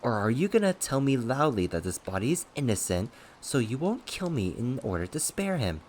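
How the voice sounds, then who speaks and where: A man's voice: low voice, big pitch swings, measured pace, slight background noise. Manic, cocky, taunting male ghost. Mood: gleeful provocation. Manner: cocky, mocking, manic, shouting and swooping. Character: gleefully unhinged, taunting male ghost possessing a man.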